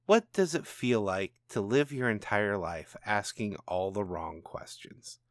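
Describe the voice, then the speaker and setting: A man voicing a calm, knowing, commanding supernatural father in a storybook reading, speaking in a measured, taunting way. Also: lowish voice, no room sound, studio quality. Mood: knowing, challenging. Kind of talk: storybook narration dialogue.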